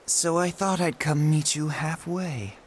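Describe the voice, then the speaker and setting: An actor playing a scheming male count who speaks with courteous, menacing smoothness, in a video game cutscene. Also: fairly high pitch, unhurried, a little hiss or hum, lightly acted. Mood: smoothly menacing. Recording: game audio with music behind.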